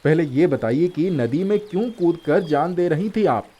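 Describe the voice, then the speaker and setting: A man's voice: a little high, lively intonation, normal speed, slightly rough, muffled recording. A man voicing a brave, courteous young prince in a fairy tale, speaking formally and earnestly. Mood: concerned. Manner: formal, gallant, earnest delivery.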